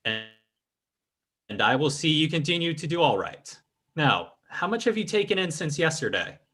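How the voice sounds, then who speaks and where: A man acts out an imperious phony mystic from an old radio crime play. His voice swings widely and has a forceful edge. He speaks formally and grandly, like one who expects to be obeyed. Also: a little high, sing-song, moderate pace, husky, full character voice. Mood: stern, domineering. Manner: pompous, formal, commanding. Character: sly, imperious male fake psychic and crime boss. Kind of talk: old-time radio drama acting.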